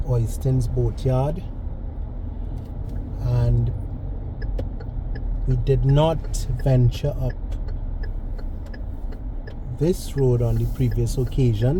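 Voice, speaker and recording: A man hosting a driving tour, narrating casually as he drives, polite and measured, carefully correcting himself as he goes. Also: mid-range voice. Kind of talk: unscripted narration while driving. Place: inside a moving car. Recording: loud road and engine noise.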